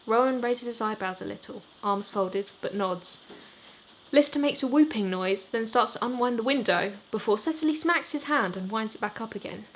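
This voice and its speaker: A woman's voice: fairly high pitch, expressive, normal speed, poor audio. Woman reading a novel over background noise. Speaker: author reading own novel. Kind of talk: expressive audiobook narration. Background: rain ambience.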